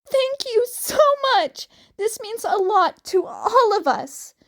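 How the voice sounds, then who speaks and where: A woman's voice: very high-pitched, sing-song, takes it slowly, pitched above the performer's own voice, heavily acted. A woman voicing an eager, awkward, grateful teenage girl in a story. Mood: gushing thanks. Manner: hesitant, gushing.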